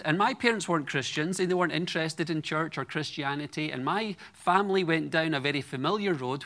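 A man's voice: fairly high pitch, bright, forceful tone. A Scottish man in his forties preaching a sermon to a congregation, earnest and personal with light humor. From Scotland. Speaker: guest preacher.

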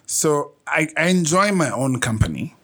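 A middle-aged man with a Kenyan accent, hosting a relaxed conversational interview and teasing his guest with jokes. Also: fairly high pitch, normal speed. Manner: joking, teasing banter with frequent laughter. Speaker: podcast host.

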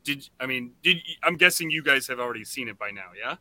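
A man co-hosting a podcast in casual chat, often halting and restarting his thoughts. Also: mid-range voice, lively intonation, pushed and bright, no room sound, rough recording. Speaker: podcast co-host.

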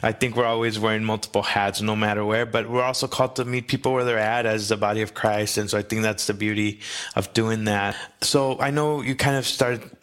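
A man's voice: fairly low pitch, fairly even intonation, brisk, a bit bright, clear, resonant tone. A man hosting an interview, affirming and reflective, with many fillers. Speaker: interviewer, podcast host. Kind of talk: conversational interview.

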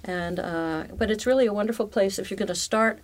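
Mid-pitched woman, persuasive interview guest. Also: a little fast. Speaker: interview guest, tour company manager. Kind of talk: conversational promotional explaining.

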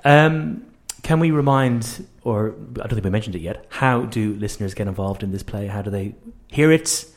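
A man's voice: lowish voice, animated, normal speed, few pauses. A man hosting a casual radio interview, speaking in an informal and encouraging way.

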